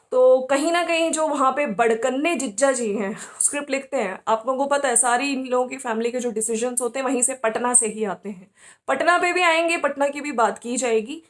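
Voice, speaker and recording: A woman's voice: high voice, moderate pace. A woman giving informal, opinionated commentary as a vlog host, often checking in with listeners using little tag words.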